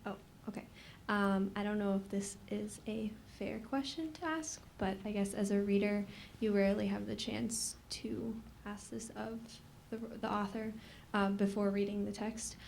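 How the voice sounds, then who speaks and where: Girl in the audience, lots of vocal fry, rambly unsure question, bit of room noise. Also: mid-range voice, steady tone, normal speed, darkish tone. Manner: apologetic, tentative, rambling. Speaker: audience member asking a question. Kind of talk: hesitant unscripted question. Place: live book talk audience. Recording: faint background room noise.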